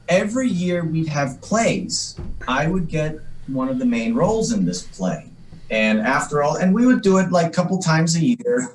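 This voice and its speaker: A man, Polish by upbringing, tells long, meandering stories with dry jokes at his own expense. His voice sits at an average pitch. The sound is roomy and echoey, and the mic is some distance from his mouth. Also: a little hiss or hum. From Massachusetts, USA. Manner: rambling, self-deprecating joker. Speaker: guest, actor. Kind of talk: casual interview storytelling.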